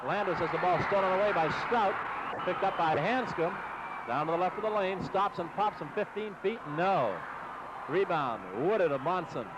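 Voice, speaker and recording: An American man doing live radio basketball play-by-play in clipped, rapid-fire short phrases, on an old AM broadcast. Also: sits high. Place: basketball game in a gym. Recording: muffled noisy 1960s AM radio broadcast.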